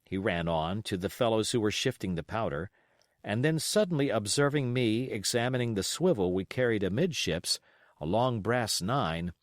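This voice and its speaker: A man's voice: fairly low pitch, moderate pace, no room sound, studio quality. A man narrating an adventure novel as an audiobook reader, telling the story expressively from a script.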